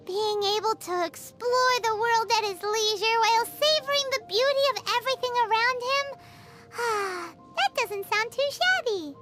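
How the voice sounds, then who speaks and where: A woman voicing a tiny, childlike fairy companion in a video game, excitable, greedy and whiny, with a squeaky voice. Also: unhurried, music in the background. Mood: cheerful. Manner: exclamatory, refers to self in third person. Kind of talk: video game character dialogue.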